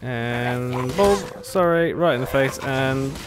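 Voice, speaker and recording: Low-voiced woman doing game commentary. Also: expressive, slow. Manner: sarcastic, chatty, talking to game characters. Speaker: gamer playing and commenting. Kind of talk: casual let's play commentary. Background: game music.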